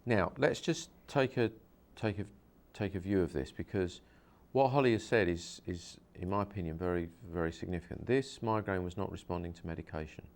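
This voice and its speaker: A man's voice: deep voice, fairly quick, few pauses, darkish tone. A man, a hypnosis practitioner, interviewing a guest and explaining his method earnestly, with many repeats and restarts.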